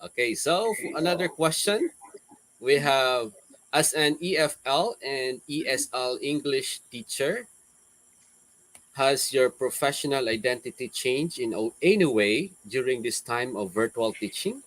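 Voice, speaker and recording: A man's voice: mid-range voice, lively intonation, measured pace, fairly bright tone, no echo, rough recording. A man hosting a webinar Q&A, reading out questions with hesitant fillers. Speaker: webinar host, moderator.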